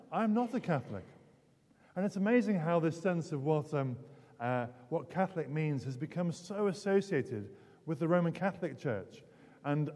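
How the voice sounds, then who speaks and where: British preacher with failing voice, reverberant church. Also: male voice, a little high, a little mellow. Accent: British English. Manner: hesitant, self-correcting, repeats words. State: losing his voice, throat clearing. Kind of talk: sermon, conversational and explanatory. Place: large indoor church, long reverb.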